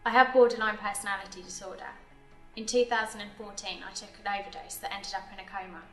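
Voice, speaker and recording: A woman's voice: mid-range voice, steady tone, fast, faint music behind. Brief: woman, late 20s, English, determined, roomy recording. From England. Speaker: employee sharing her story. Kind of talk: scripted testimonial.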